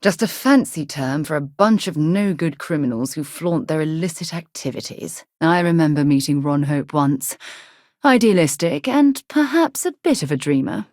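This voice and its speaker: A woman voicing a composed, principled space explorer in a video game, with articulate, measured, formal phrasing and a husky voice. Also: low-pitched, expressive, a little mellow, no echo, studio quality. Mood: measured, thoughtful. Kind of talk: video game companion voice lines.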